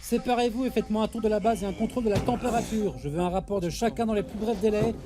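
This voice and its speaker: A woman's voice: mid-range voice, quick pace, noisy background, natural voice. A woman playing a bossy, impatient spaceship captain giving commanding orders in an audio drama. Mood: brisk, commanding.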